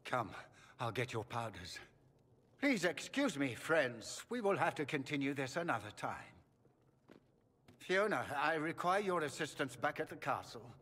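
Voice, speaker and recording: An actor playing a proud, courteous older mage lord in a video game, speaking in a measured, formal, calculating way. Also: male voice, a little high, big pitch swings, pushed and bright, heavily acted. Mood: concerned, brisk. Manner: smooth, measured, formal, with lingering pauses. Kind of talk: video game cutscene acting. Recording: long hall reverb.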